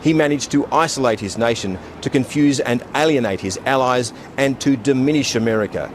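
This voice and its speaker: A man giving a deliberate, critical political commentary. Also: mid-range voice, a little hiss or hum. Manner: grave, deliberate critical analysis. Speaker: political commentator in a radio clip. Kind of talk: measured spoken commentary.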